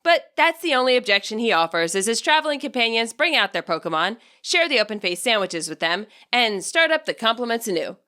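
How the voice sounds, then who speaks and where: A woman's voice: fairly high pitch, big pitch swings, fairly quick, few pauses, sharp tone. A woman reading scripted story narration expressively, as the narrator of her own audiobook. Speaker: audiobook narrator and fan fiction author.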